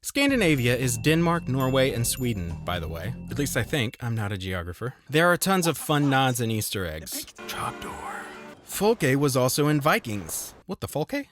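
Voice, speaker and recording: A male game reviewer reads his script with a dry, sarcastic wit. He jumps high in pitch whenever he lands a joke. Music plays clearly beneath him. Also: huge pitch swings, normal speed. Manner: wry, sarcastic, with big jumps in pitch for jokes. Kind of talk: scripted comedic review narration.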